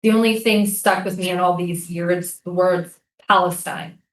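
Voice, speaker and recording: A woman's voice: on the low side, fairly even intonation, normal speed, slight background noise, close to an everyday voice. A woman reading the part of a haunted, earnest female soldier and interrogator in a staged play. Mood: searching. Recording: echoey room, distant from microphone.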